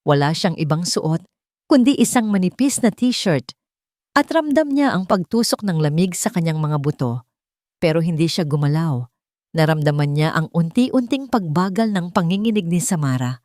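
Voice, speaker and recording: A woman's voice: deep voice, darkish tone, dry recording, clean studio sound. A woman narrating a dramatic scripted love story.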